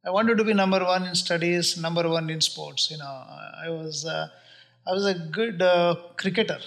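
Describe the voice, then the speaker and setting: A man in his forties speaks with an Indian accent in a notably high voice. He answers questions earnestly and at a measured pace. He pauses often and fills the gaps with soft fillers. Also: gravelly. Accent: Indian English. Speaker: real estate investor guest. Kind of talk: casual interview answers.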